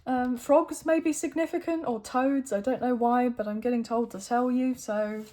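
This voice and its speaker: Woman, croaky throat, chatty tarot reader, mellow tone, slightly high voice. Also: fairly even intonation, normal speed. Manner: chatty, thinks aloud while drawing cards. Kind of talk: casual tarot reading to camera.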